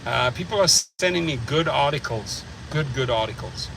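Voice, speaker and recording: A man's voice: medium pitch, expressive, normal speed, vocal fry, background noise. A middle-aged man with a South African accent hosting a casual livestream chat, rambling and blunt, repeating words as he thinks. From United States. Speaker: channel host.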